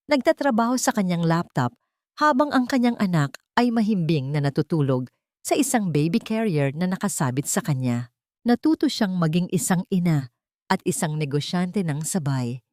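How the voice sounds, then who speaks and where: A woman's voice: lowish voice, animated, normal speed, a little mellow, no echo, studio quality. A woman reading a scripted, dramatic story as its narrator.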